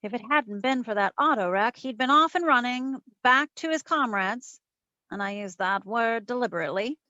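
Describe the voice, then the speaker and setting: A woman's voice: fairly high pitch, lively intonation, moderate pace, pushed and bright, no room sound, muffled recording. A woman playing a wisecracking, self-assured female private eye in a wry, slangy 1940s radio detective style. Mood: wry recounting. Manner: wry, slangy, theatrical storytelling. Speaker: community theatre voice actor.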